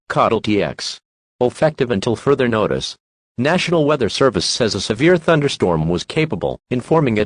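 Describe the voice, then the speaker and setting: A man's voice: medium pitch, no room sound. A synthetic-sounding man reading scripted emergency weather alerts in a formal announcer's manner.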